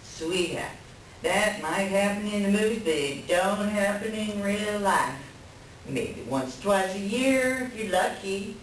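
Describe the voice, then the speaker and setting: A woman's voice: mid-range voice, expressive, slow pace. A woman playing a world-weary, blunt mother fed up with her husband in a folksy play reading. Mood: jaded, resigned. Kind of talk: staged play reading, conversational dialogue. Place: library reader's theater reading. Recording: echoey room from far off, faint noise.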